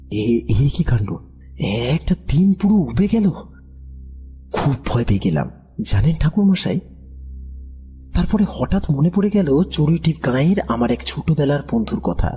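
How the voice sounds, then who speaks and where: Husky, frightened village trader. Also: male voice, medium pitch, mellow. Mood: frightened, confused, then earnest. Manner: hesitant, anxious, pleading. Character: frightened, bewildered village trader recounting his strange ordeal. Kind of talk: dramatic audio horror story acting. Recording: background music under the voice.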